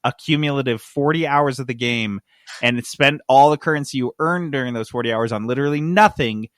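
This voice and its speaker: A man's voice: mid-range voice, brisk, fairly bright tone, no room sound, clean studio sound. A man co-hosting a casual gaming podcast, talking in a joking, sarcastic way. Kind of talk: casual gaming news chat.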